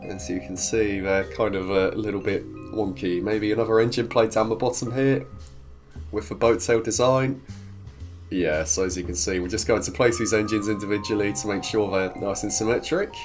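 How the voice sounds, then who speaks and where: A man's voice: fairly low pitch, fairly even intonation, moderate pace, over music. A man with a cold, hosting a video game series in a cheerful, chatty, rambling way while he builds and narrates. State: congested from a cold. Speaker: gaming video host. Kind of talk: casual gameplay commentary.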